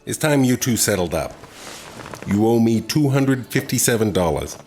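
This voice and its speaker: A man acting a gruff, no-nonsense bartender in a radio drama. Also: mid-range voice, big pitch swings, moderate pace, darkish tone, faint music behind, close to an everyday voice. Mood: stern.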